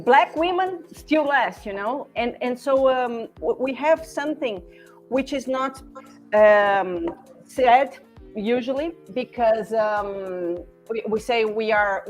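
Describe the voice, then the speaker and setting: A Brazilian woman in her forties speaks English with a Portuguese accent, her voice pitched a bit high. She talks very slowly, stopping and restarting as she hunts for the right English words. Faint music sits in the background. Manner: halting, searching for English words, many restarts. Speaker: podcast guest, former classmate. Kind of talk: casual conversation.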